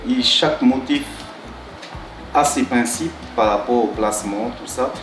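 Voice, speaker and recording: A tattoo artist from Tahiti, talking about his work in a halting way, with many restarts and fillers. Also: medium pitch, roomy sound, over music. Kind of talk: talking-head interview.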